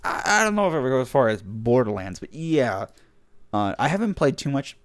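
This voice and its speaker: A man's voice: mid-range voice, sing-song, fairly quick. Young man losing his voice. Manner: rambling, laughs often, many fillers. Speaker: podcast host. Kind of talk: casual chat.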